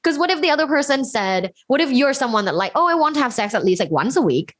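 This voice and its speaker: A woman's voice: sits high, very animated, fast. A woman from Thailand, a guest sex educator, talking candidly in a casual interview, with lots of fillers and frequent laughs. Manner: candid, chatty, laughs often, many fillers.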